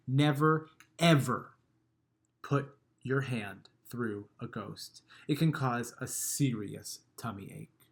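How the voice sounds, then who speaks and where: Slow, gentle man reading to kids. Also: mid-range voice, slight background noise. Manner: gentle, expressive storytelling with soft trailing endings. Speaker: children's book reader. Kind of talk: reading a picture book aloud to kids.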